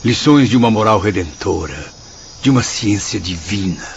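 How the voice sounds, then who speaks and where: Solemn repentant spirit, muffled recording. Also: male voice, on the low side, lively intonation, moderate pace, gravelly, slight background noise. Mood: solemn exhortation. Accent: Brazilian. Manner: declamatory, reverent, formal. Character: Camilo, earnest repentant male spirit narrating his afterlife. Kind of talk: solemn Brazilian radio drama narration. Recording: dull lo-fi audio over faint music.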